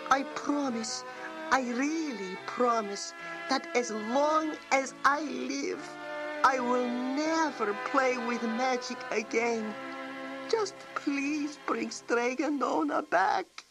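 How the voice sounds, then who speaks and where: A woman voicing a boastful, foolish young man who is easily upset, speaking excitably and pleading in a storybook read-aloud. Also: sits high, slow, over music, pitched above the performer's own voice, rough recording. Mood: pleading, wailing.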